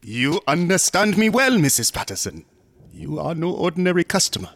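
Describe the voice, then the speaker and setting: An actor playing a smug, unctuous museum assistant in a horror radio drama, courteous and insinuating, sometimes dropping into whispers. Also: male voice, on the high side, big pitch swings, normal speed, a bit bright, heavily acted. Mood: oily politeness. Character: sinister, smug, unctuous museum assistant guarding macabre exhibits.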